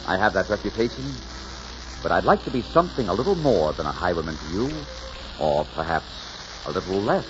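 A man playing a dashing, bold, flirtatious highwayman in suave, theatrical 1940s radio style. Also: sing-song, a little fast. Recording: dull, noisy 1940s radio recording, music behind.

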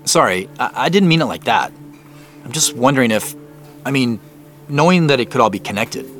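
An actor playing an awkward, apologetic man in an audio drama, speaking in a halting, naturalistic way. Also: medium pitch, lively intonation, a bit bright, faint music behind, close to an everyday voice. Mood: hesitant, concerned. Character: awkward, apologetic man investigating a family mystery.

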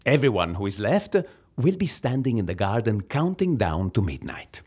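An actor playing a proud, defensive agency underling in a comedy audio drama, a man with an Italian accent and a husky voice. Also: fairly low pitch, big pitch swings, moderate pace, darkish tone. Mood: proud, dramatic.

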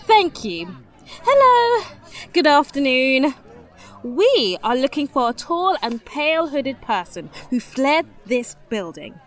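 Young noblewoman, high voice, very animated, polite, naive, earnest, fantasy audio drama. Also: slow, heavily acted. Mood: bright, addressing crowd. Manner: polite, genteel, exclamatory.

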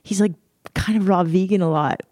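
A woman's voice: lowish voice, a little fast, dark tone, no echo, clean studio sound. A woman hosting a podcast interview, gushing with enthusiasm and asking loose, friendly questions. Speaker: podcast host. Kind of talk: casual interview talk.

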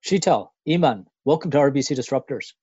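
A man's voice: medium pitch, lively intonation, fairly quick, a little mellow, husky, lo-fi sound. A man hosting a business podcast, reading a scripted intro and asking his guests friendly questions. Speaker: podcast host. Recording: music playing under the voice.

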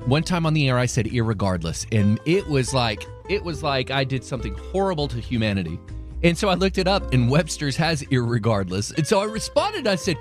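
A man hosting a radio show, telling stories with a lively, jokey delivery. His pitch swings up and down as he talks, and soft music plays faintly under him. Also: brisk. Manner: animated, jokey storyteller. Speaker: radio host. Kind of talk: casual on-air banter.

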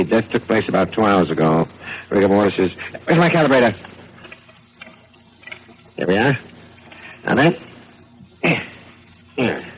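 An actor playing a brisk, impatient coroner in an old-time radio detective drama, hurried and matter-of-fact. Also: male voice, on the low side, slightly rough, full character voice, poor audio. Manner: hurried, muttering matter-of-factly to himself.

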